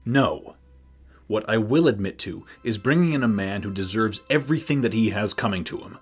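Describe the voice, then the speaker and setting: A man's voice: lowish voice, expressive, moderate pace, slightly rough, faint music behind. A man playing a self-assured, insolent human bounty hunter in an audio drama, smug and defiant.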